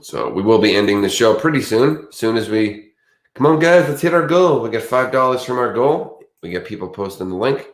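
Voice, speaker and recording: A man's voice: lowish voice, expressive, moderate pace. A man with a Boston accent, sleepy and chatting in a rambling, casual way as co-host of a true crime stream. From Boston area. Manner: meandering, chatty, restarts often. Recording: roomy echo.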